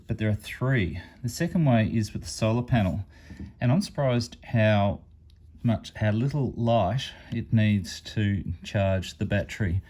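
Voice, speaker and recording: Low-pitched man giving a product demo. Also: darkish tone. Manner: explains step by step, matter-of-fact. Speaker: amateur radio reviewer and channel host. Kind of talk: casual hands-on product demonstration.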